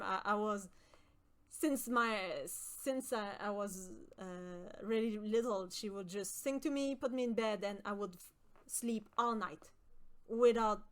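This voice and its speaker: Hesitant high-voiced woman. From Quebec. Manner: hesitant, many fillers and restarts. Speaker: streamer. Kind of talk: casual chat with stream viewers.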